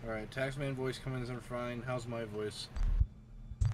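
A man's voice: mid-range voice, fairly even intonation, moderate pace. Man streaming, noisy audio. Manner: hesitant, checks audio levels. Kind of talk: casual gaming chat.

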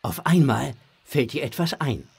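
Husky male storyteller. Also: medium pitch, lively intonation, measured pace, a little mellow. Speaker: narrator. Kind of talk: scripted narration of a children's audio drama.

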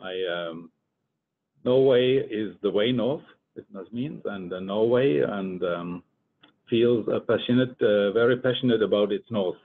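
An older man from Germany gives a research presentation in English as a scientist, halting and deliberate. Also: husky. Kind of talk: conference presentation. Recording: muffled phone-like line, rumbling noise.

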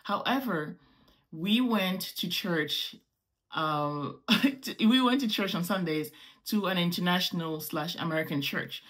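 A woman in her thirties from Morocco, with French as her first language, talking casually to camera at home as a vlogger and gesturing as she explains. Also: on the low side. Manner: animated, gestures with hands while explaining. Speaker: travel vlogger. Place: small home room indoors.

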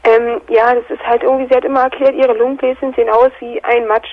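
A woman in her twenties calls in over the telephone. Her voice is a bit high and hoarse from a cold. She tells her story in a plain, matter-of-fact way. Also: flat delivery, fast talker, a little mellow, low-quality recording. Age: 20s. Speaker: caller.

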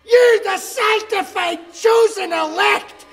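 An actor playing a fanatical medieval preacher in a radio drama, orating slowly and fervently to a crowd. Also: male voice, very high-pitched, vocal fry, full character voice. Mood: fiery exhortation. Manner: slow, fervent, theatrical oratory. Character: fanatical male crusade preacher whipping up a crowd. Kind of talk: historical radio drama acting. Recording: crowd murmur behind.

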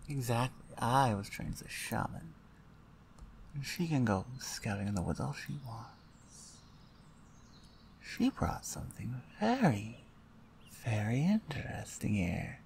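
A woman playing a stern, dominant female orc shaman in a roleplay ASMR audio, speaking in a commanding, deliberate, taunting way. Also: extremely low pitch, sing-song, creaky, a little mellow, a little hiss or hum, pitched below the performer's own voice, heavily acted. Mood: curious, commanding.

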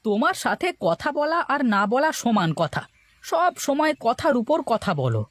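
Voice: sits high, big pitch swings, moderate pace, fairly bright tone, dry recording, clean studio sound. A voice actor playing a humble, hard-up village potter and long-suffering husband in a cartoon, plaintive and grumbling. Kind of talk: Bengali cartoon voice acting.

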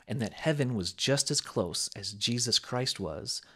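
A man reading a sermon aloud with a measured, emphatic preaching cadence. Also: medium pitch, lively intonation, pushed and bright, breathy edge.

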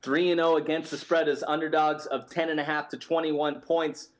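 A man in his thirties giving confident sports betting analysis in a studio, steady and packed with stats. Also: a little high, moderate pace. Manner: confident, steady, stat-heavy. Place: studio set, indoors.

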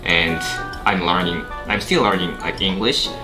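A young Japanese-accented man with a very low voice co-hosts a relaxed chat from a couch. He is chatty and upbeat, laughing easily. Music plays clearly in the background. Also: unhurried, rough recording. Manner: upbeat, laughs often, many fillers. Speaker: co-host. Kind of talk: casual chat. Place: indoor room on a couch.

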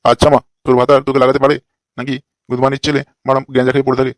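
A man's voice: mid-range voice, monotone, racing, natural voice. A man voicing a crude, lecherous fake holy man in a comic cartoon, with a brash, scolding manner.

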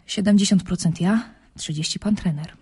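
An actress playing a cynical young chess player who bargains drily and calmly in a radio drama. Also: female voice, lowish voice, normal speed, husky. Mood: sardonic, reluctant. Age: young adult. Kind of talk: Polish radio drama acting.

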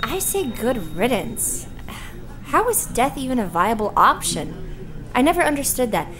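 A woman's voice: on the high side, sing-song, measured pace, over music, poor audio. A woman playing a passionate, principled young girlfriend in an audio drama. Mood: indignant.

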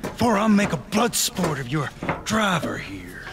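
An actor playing a menacing, mocking wasteland ship boss in a video game, taunting and sneering. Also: male voice, on the high side, wildly swooping pitch, noisy background, exaggerated voice. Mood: mocking, threatening. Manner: taunting, sneering, drawn-out threats. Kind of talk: theatrical video game villain acting.

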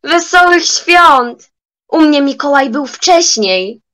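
High-voiced, slow young woman playing a theatrical grandmother. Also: lively intonation, fairly bright tone, heavily acted. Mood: cheerful. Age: young adult. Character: grumpy old grandmother turned remorseful and joyful. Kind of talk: amateur school audio drama.

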